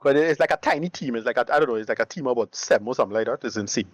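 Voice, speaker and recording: A man chatting casually as a podcast panelist, haltingly, often repeating his words and phrases. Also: mid-range voice, dry recording, lo-fi sound.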